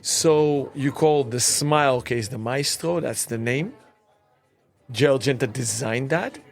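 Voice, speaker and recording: A man hosting a conversational interview, deliberate in how he lays out his points. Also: medium pitch, creaky. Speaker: interview show host.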